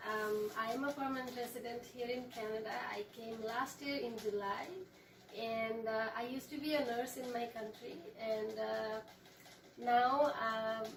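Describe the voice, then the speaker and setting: Nepal-born young woman, Nepali native, unhurried and hesitant with fillers; higher voice, distant echoey room with a light hum. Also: fairly even intonation. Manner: measured, hesitant, with frequent fillers. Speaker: guest. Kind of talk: casual interview, explaining her culture.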